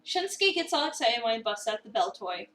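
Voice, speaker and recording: A woman narrating a visual novel as an expressive first-person dramatic reading. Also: fairly high pitch, fairly quick. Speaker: narrator, streamer. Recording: roomy, echoey, distant mic.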